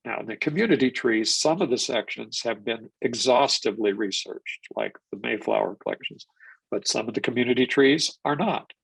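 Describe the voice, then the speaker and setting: A man's voice: medium pitch, moderate pace, vocal fry, a bit bright, slightly rough, no echo, low-quality recording. An older man presenting a genealogy webinar, stuffed up from a cold, rambling with restarts and fillers. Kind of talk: live webinar lecture.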